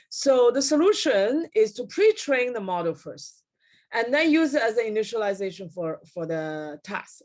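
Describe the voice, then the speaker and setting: A woman's voice: medium pitch, darkish tone. Middle-aged woman lecturing with many fillers. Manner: frequent fillers and self-corrections. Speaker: keynote speaker. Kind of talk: technical lecture over slides. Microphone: webcam at desk, video call.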